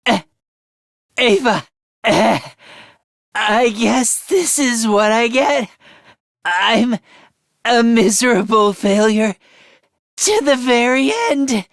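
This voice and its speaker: Meek, high-voiced, self-loathing young guy. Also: very animated, slow, a bit bright, no room sound, clean studio sound, heavily acted. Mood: dejected. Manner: stammering, self-deprecating, apologetic. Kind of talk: anime-style video game voice acting.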